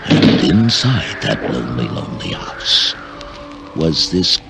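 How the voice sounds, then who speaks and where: Super slow theatrical guy telling a creepy tale, pitch swings all over, loud music behind. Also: sing-song, creaky. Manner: drawn-out, ominous, theatrical. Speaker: storyteller. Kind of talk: slow, repetitive spooky storytelling.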